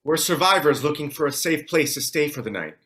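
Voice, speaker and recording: Casting: man as cautious, practical survivor, higher bright voice, audio drama read. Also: animated, brisk. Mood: guarded. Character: wary, practical male survivor. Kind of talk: scripted drama table read.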